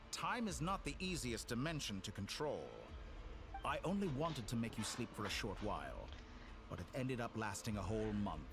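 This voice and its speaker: A godlike video game villain with a low, highly expressive voice. He is silky and smug, deliberate and mock-polite, talking down to his listener over clear background music. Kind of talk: scripted video game dialogue.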